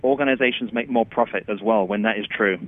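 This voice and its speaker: A man's voice: fairly low pitch, fast talker. A man with an English accent, a chief executive and interview guest, explaining his work with vivid examples. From England. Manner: explanatory, paints pictures with examples. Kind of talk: interview answers. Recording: tinny phone line.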